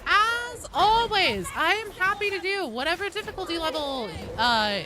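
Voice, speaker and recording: Female game streamer, lively swooping intonation, informal and conversational, clear background noise. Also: extremely high pitch, moderate pace. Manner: informal, conversational, addresses viewers directly. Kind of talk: casual livestream chat with viewers while gaming.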